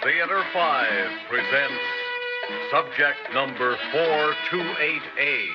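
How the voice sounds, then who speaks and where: Male announcer, vintage radio, slow, medium pitch, dull recording, loud music. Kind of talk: old-time radio program announcement.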